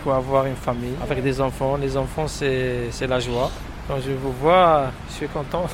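A man's voice: mid-range voice, fairly even intonation, normal speed. A man answering in an informal street interview. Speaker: passer-by interviewee. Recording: noisy outdoor street recording.

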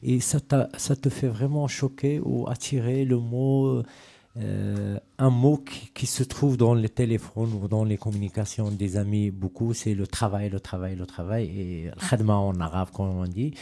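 A man's voice: fairly low pitch, steady tone, a little slow, vocal fry, mellow, dry recording. A man hosting a radio talk show, questioning a guest with loose phrasing and frequent fillers. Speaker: radio host. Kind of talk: radio interview.

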